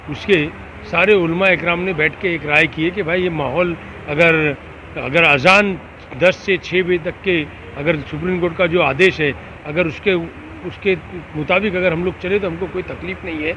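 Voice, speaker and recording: A high-pitched man speaks off the cuff to a news crew. He repeats phrases haltingly, with clear traffic noise around him. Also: bright, forceful tone. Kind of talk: spontaneous news interview. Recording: dull audio, clear outdoor traffic noise.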